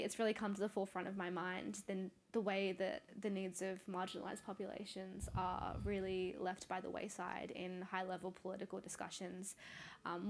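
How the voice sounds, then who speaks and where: A woman's voice: mid-range voice, vocal fry, a little hiss or hum. A twenty-year-old woman, answering fluently and earnestly as a campaigner on a panel. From Australia. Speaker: youth climate campaigner, panellist.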